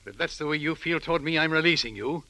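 A man's voice: fairly high pitch, fast, pushed and bright, dry recording. An actor playing a stern, condescending, wealthy older man, formal and righteous, in 1940s radio drama style. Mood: indignant.

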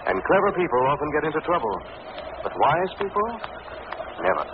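Commanding crime fighter, thin noisy recording. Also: male voice, a little high, big pitch swings, a little fast. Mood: calm, knowing. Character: shrewd, calm male crime-fighting avenger, confident and commanding. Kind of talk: 1940s radio acting. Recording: noisy, narrowband vintage radio recording.

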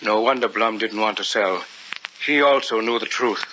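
A man's voice: fairly low pitch, moderate pace, noisy background, close to an everyday voice. An actor playing a confident, patronizing scholarly man obsessed with the occult in an old-time radio drama. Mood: intent. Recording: muffled old radio recording.

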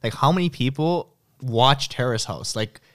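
A man's voice: mid-range voice, lively intonation, moderate pace, a bit bright. Casual: chill co-host guy, talks in circles, laughs a lot, mid voice. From Japan. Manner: casual, rambling, laughs often. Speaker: podcast co-host.

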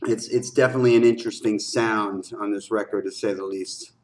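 A man's voice: on the low side, fairly even intonation, a little fast, slight background noise. A man hosting a reaction video with casual, slangy commentary, often repeating phrases for emphasis.

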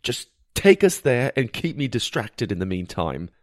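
A man voicing an excitable, squeamish boy hero in a fantasy adventure story, with an anxious, exclamatory delivery. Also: mid-range voice, moderate pace, dry recording, clean studio sound, natural voice. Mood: disgusted, firm. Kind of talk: character dialogue in an adventure audio story.

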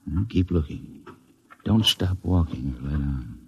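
A man's voice: very deep voice, unhurried, a little mellow, muffled recording. An actor playing a brash, pugnacious spaceship crewman in a mid-century radio drama, with slangy, blustering tough-guy talk. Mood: hushed, wary. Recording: noisy vintage radio recording.

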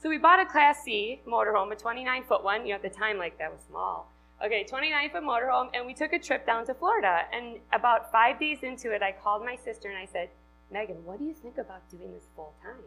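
A woman's voice: fairly high pitch, animated, fast, vocal fry, a bit bright, slight background noise, rough recording. A woman in her thirties from Wisconsin with a Wisconsin accent, giving a chatty, upbeat talk to a live audience and laughing often. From Wisconsin, USA. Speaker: conference speaker.

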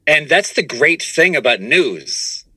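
A man talking casually as a comedian guest on a podcast, animated and often restarting his phrases. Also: a little high, takes it slowly, husky, no echo. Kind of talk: casual conversational interview answers.

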